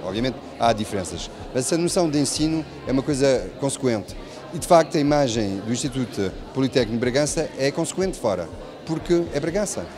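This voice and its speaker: A middle-aged man with a European Portuguese accent gives formal remarks in an interview. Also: mid-range voice. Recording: clear crowd noise behind.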